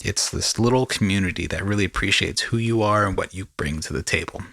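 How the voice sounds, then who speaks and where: A male podcast host with a low, bright voice talks casually and reflectively. He moves in halting phrases, searching for the right word, and the sound is close and dry. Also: creaky, no room sound.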